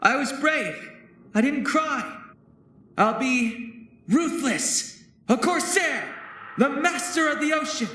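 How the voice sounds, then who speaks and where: Very high-pitched young pirate boy. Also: lively intonation, slow pace, pauses often, bright, forceful tone, full character voice. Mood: earnest, defiant. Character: young pirate boy, eager to prove himself ruthless. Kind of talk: video game character acting. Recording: echoey, faint ambient noise.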